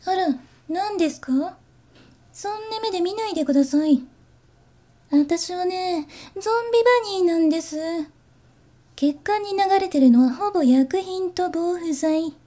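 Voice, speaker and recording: A woman voicing a politely teasing zombie bunny flight attendant in a Japanese ASMR voice drama, soft and formal. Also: very high-pitched, unhurried.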